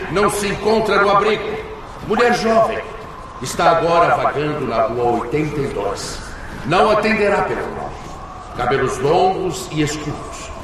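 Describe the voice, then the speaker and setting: A man playing a stern, formal rescue leader calling out names in a radio drama. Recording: booming echoey hall, distant, noisy.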